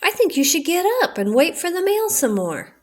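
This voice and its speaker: A woman's voice: very high-pitched, big pitch swings, moderate pace, darkish tone, voice pushed up. A woman voicing an eager, kindly male frog in a children's storybook, earnest and coaxing. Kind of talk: children's storybook read-aloud.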